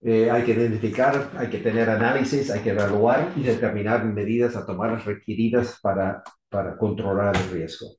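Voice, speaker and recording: A middle-aged man from Argentina, now living in the US, giving an unscripted technical talk on electrical safety in halting, rusty Spanish full of restarts and fillers. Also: fairly low pitch, fairly even intonation, darkish tone. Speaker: electrical safety engineer presenting. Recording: echoey room.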